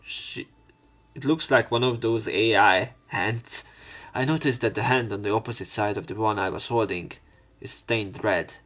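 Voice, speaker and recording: A man's voice: on the low side, normal speed, a bit bright. A man reading visual novel text aloud and breaking off for casual, sweary let's play commentary. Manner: casual, sweary interjections between read lines.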